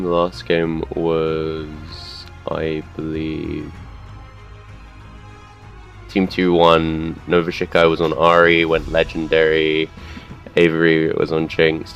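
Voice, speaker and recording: A young man commentating a custom game he also plays in, his voice a bit hoarse, rambling and correcting himself as he goes. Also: very deep voice, highs cut off. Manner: rambling, unsure, self-correcting, filler-heavy.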